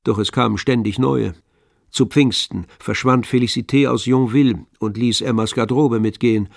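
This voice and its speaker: A man's voice: mid-range voice, steady tone, normal speed, vocal fry, dry recording, studio quality. A man reading scripted literary narration for a radio play. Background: faint string music.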